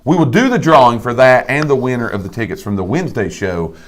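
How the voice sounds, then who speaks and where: A man's voice: medium pitch, expressive, fast. A man co-hosting a live talk show, folksy and emphatic, full of rhetorical questions. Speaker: co-host of a live real estate talk show. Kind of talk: casual chat.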